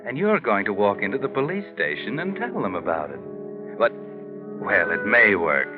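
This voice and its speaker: An actor playing a knowing, taunting narrator of a 1940s radio mystery, speaking straight to the criminal. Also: male voice, lowish voice, wildly swooping pitch, moderate pace. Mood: taunting, sly. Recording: muffled old radio recording with music.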